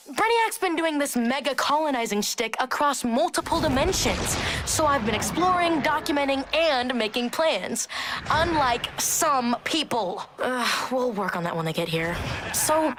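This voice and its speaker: An actress playing a breezy, cocky young vigilante streamer in a video game, chatty, slangy and playfully sarcastic. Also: female voice, high voice, sing-song, unhurried, background noise. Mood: excited. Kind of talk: casual livestream-style video game cutscene acting.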